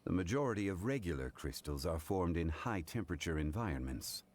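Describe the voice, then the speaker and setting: A man voicing a composed, learned gentleman in an anime-style video game, formal, courteous and measured. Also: deep voice, sing-song. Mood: scholarly, grave.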